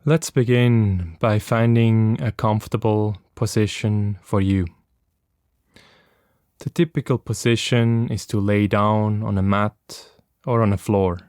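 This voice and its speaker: A man guiding a body scan meditation as a teacher, giving gentle, unhurried instructions with long pauses. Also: lowish voice, fairly even intonation, clean tone, no room sound, studio quality.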